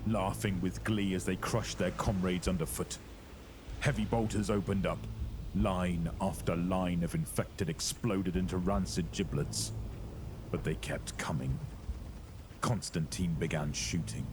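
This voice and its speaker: Deep male narrator, dramatic horror storytelling, clear noise behind him. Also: unhurried.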